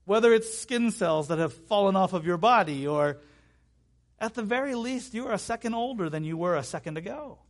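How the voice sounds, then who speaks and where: A man's voice: high-pitched, expressive, a little fast. A man in his thirties preaching a sermon in an emphatic way, repeating key phrases and slipping into conversational asides. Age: 30s.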